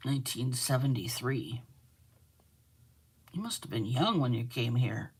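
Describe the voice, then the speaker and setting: A woman's voice: very deep voice, lively intonation, measured pace, husky. A middle-aged Canadian woman hosting a live crochet stream, chatting casually, teasing and laughing often while she reads viewer comments aloud. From Manitoba, Canada.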